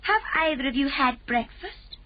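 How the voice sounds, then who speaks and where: A woman's voice: high voice, lively intonation, lo-fi sound, pitched above the performer's own voice. A woman doing a poised gracious queen. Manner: poised, measured, proper speech. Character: composed, gracious queen, calm and regal.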